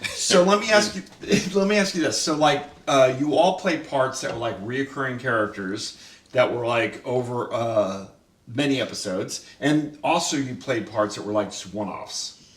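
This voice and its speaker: Laughing, animated man in a roomy space. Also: medium pitch, normal speed. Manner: animated, laughing often, many fillers. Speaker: host, director of the radio play. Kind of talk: casual roundtable chat. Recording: roomy sound, mic at a distance.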